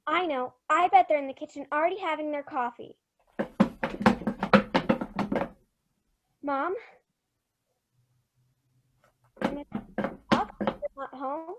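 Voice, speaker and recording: A woman's voice: sits high, moderate pace, natural voice. A female performer playing an eager, anxious little girl, plaintive and insistent, in an old-time radio drama. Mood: searching, anxious.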